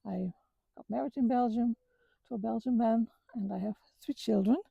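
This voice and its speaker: A middle-aged woman from the Netherlands with a Dutch accent, talking earnestly about her life with many halting restarts. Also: a little high, vocal fry, husky, dry recording, highs cut off. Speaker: vlogger. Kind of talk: reflective personal talk. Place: outdoors on a walk.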